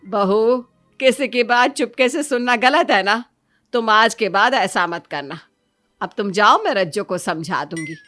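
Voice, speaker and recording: A woman's voice: medium pitch, measured pace, a bit bright, soft background music, close to an everyday voice. A woman voicing a stern, weary middle-aged mother-in-law who admonishes firmly in a cartoon family story. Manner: admonishing, firm.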